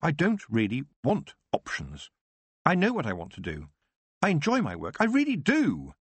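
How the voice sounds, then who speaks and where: An earnest young witch, sure of her gift yet torn inside, as a male reader voices her. The voice sits raised above his own and stays husky and heartfelt. It is recorded close and dry. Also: on the high side, wildly swooping pitch, normal speed, dry recording, voice pushed up, exaggerated voice. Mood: heartfelt, troubled.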